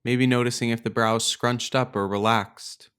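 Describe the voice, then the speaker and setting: A man leading a guided meditation as a teacher, speaking in a calm, gentle, unhurried way with pauses. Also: medium pitch, clear, resonant tone. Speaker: meditation teacher and podcast host. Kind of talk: guided meditation, slow and instructional.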